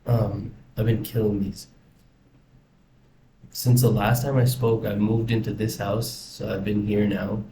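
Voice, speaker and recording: A man's voice: lowish voice, little pitch movement, a little slow, dark tone. A young man with an American accent talking casually and reflectively in a rambling personal video diary. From United States. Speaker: vlogger. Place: indoors in a house. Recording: roomy echo, faint background noise.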